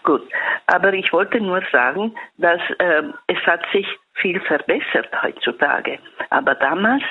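A woman's voice: fairly low pitch, animated, unhurried, breathy edge. A woman in her eighties with an Italian accent, a caller speaking German hesitantly and emotionally, searching for words. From Italy. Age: 80s. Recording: phone line.

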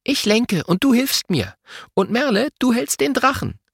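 A man acts out a little girl in a storybook, decisive and sure of herself. The voice is raised very high, with animated, eager swings as she takes charge. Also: normal speed, creaky, slightly rough, no room sound, studio quality, exaggerated voice.

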